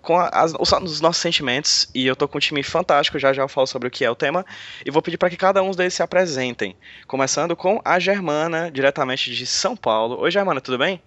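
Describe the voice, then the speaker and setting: Man, podcast host, bright edgy voice, chatty, muffled audio. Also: fairly high pitch, dry recording. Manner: chatty, halting restarts. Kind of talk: casual podcast chat.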